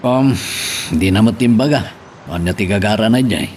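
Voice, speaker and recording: A man's voice: on the low side, moderate pace, a little mellow, slight background noise, natural voice. A man with an Ilocano accent playing an intense, bitter man with a grudge in a radio drama. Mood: uneasy.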